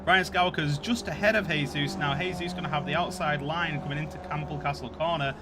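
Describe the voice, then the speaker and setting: A man with a Yorkshire accent commentating an online race, jokey and quick to burst into excited shouts when cars crash. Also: fairly high pitch, noisy background. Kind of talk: sim racing commentary.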